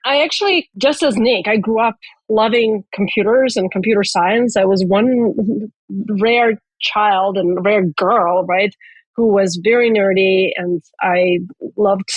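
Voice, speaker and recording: A woman's voice: medium pitch, no echo, poor audio. A woman from Russia, a venture investor answering interview questions in an earnest, thoughtful way. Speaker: venture capital investor, guest.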